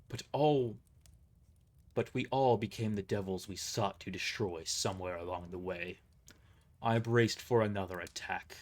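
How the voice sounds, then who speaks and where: A man narrating a first-person dramatic story as a live reader, occasionally stumbling over a word. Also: fairly low pitch.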